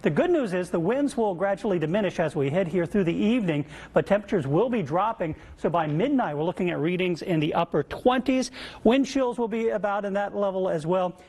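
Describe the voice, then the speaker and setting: A man's voice: high-pitched, animated, fairly quick, a little mellow. A man presenting a live weather forecast as a television meteorologist.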